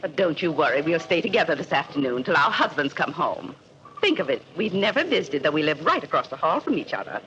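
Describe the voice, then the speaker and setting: A woman's voice: low voice, big pitch swings, brisk, a bit bright. An actress playing a falsely sweet, chattering neighbor woman with a hidden menace, in 1940s radio acting style. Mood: sugary. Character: sinister gossipy neighbor woman, sugary and menacing. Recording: muffled old radio recording with faint hiss.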